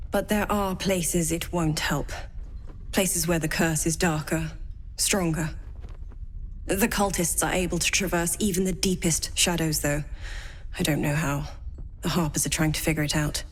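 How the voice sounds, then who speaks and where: A woman's voice: fairly low pitch, a little hiss or hum, close to an everyday voice. A woman playing a poised, earnest young cleric with a wry streak in a fantasy video game. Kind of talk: dramatic video game acting.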